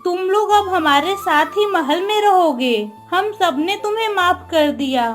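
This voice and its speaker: A woman acts out a motherly cartoon queen, gentle and dignified. Her voice is extremely high and unhurried, with music faintly behind it. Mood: forgiving. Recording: music under the voice.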